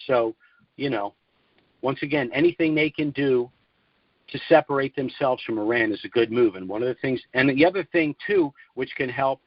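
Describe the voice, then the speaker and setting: A man's voice: medium pitch, no room sound. A man hosting a call-in conference, giving opinionated, emphatic and argumentative commentary in a conversational way over a phone line. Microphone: telephone conference line.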